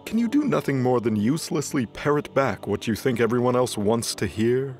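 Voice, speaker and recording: Divine emperor played by a man: mid-pitched, snide and dismissive, sighing theatrically, music underneath. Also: expressive, brisk, a little mellow, natural voice. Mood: scornful. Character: haughty, flippant male god emperor, bored and scornful. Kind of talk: dramatized audio fiction.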